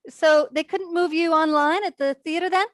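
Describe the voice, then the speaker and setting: Female, high register, dry sound: actress as attentive therapist, gentle questions, naturalistic play reading. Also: steady tone, moderate pace, no room sound. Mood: attentive, reassuring. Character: attentive, patient woman therapist checking on clients. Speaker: actor in a staged play reading. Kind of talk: naturalistic dramatic dialogue, read online.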